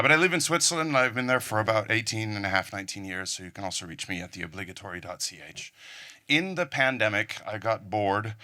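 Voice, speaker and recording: A man's voice: on the low side, bright, forceful tone. Dutch man with an American-sounding English accent. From Netherlands. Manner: self-deprecating, joking asides. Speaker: conference speaker. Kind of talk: technical conference talk. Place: conference hall stage.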